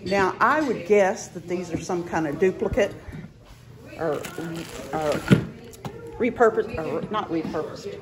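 A woman's voice: fairly low pitch, slow pace. A woman in her sixties with a Texan accent, chatting as she browses an estate sale and films on her phone. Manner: chatty, exclaims over finds. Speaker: thrift vlog host. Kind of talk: casual chat while shopping. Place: estate sale indoors, other shoppers around. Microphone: phone camera held by hand. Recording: busy room with crowd chatter.

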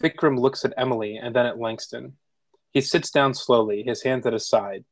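A man narrates the action of a screenplay at a table read. His voice is medium in pitch, and the sound is very dry, with no room to it. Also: steady tone, moderate pace, dry recording.

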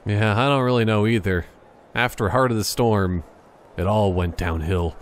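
A man's voice: fairly low pitch, moderate pace. A man giving casual, joking gameplay commentary, rambling in a silly, mock-serious way.

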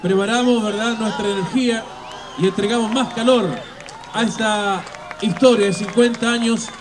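Man, festival emcee, high voice, emphatic drawn-out delivery, live PA, noisy crowd. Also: steady tone. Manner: emphatic, drawn-out announcer delivery with repeated fillers. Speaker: event MC. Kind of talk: festival stage announcing. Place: outdoor festival stage. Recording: live PA sound with loud crowd.

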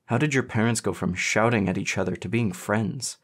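A man's voice: fairly low pitch, a little fast, a bit bright, husky. A man voicing an anxious, sweet and sensitive teenage boy in audiobook dialogue. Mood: tense.

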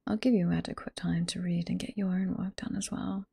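A woman with a British accent playing a flirty, teasing, sweet head librarian boss in a whispered ASMR roleplay, soft and coy, close to the microphone. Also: lowish voice, little pitch movement, fairly quick, creaky, breathy edge, dry recording, full character voice. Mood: welcoming, flirty. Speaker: voice performer in roleplay.

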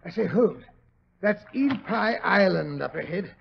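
A man's voice: high-pitched, lively intonation, unhurried, gravelly, slight background noise, exaggerated voice. An actor playing a genial, stout older British married man who grumbles and loves his comfort, huffing and stammering in 1940s radio style. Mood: grumbling, flustered. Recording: dull, muffled old radio recording.

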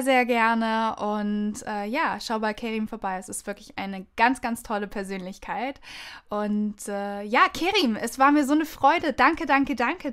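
A woman with a fairly high voice hosts a podcast. She talks casually and effusively, gushing as she goes, as if chatting with a friend. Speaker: podcast host.

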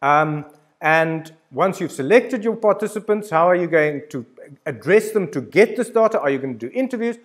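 A man's voice: a little high, lively intonation, moderate pace. A man in his sixties giving an informal, instructional lecture, talking directly and emphatically to the listener with storytelling examples. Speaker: university lecturer. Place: indoor studio with plain backdrop.

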